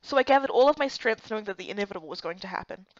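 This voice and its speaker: A woman giving expressive first-person scripted narration of a fantasy story. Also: mid-range voice, racing, dry recording, dull audio. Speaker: narrator and voice actor for a visual novel playthrough.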